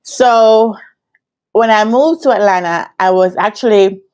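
A woman's voice: medium pitch, a little slow, a little mellow, clean tone. A woman in her thirties with a Jamaican accent, chatting casually to her viewers from a hotel room, laughing often as she tells her story. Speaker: vlogger and business analyst coach. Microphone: laptop webcam at desk.

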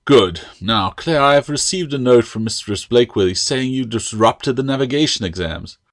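A man's voice: mid-range voice, expressive, moderate pace, pitched above the performer's own voice, natural voice. A man voicing a stern, mocking schoolmaster. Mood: stern, scornful. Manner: mocking, snapping, pompous. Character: stern, sarcastic older male schoolmaster of magic.

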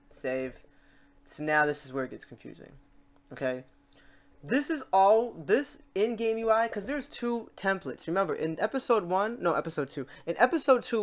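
A man hosting a software tutorial in a casual, chatty way, talking through each step aloud. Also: a little high. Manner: informal, chatty, talks through steps aloud. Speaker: tutorial host.

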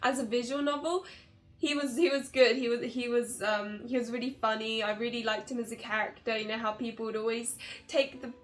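A woman in her twenties giving a casual, chatty game review to camera in an expressive way. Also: fairly high pitch, fairly quick. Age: 20s. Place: small bedroom, indoors. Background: background music.

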